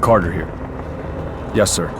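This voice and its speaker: A man's voice: low-pitched, a little slow, background noise. A man playing a stern, weary squad commander in a video game cutscene, clipped and authoritative.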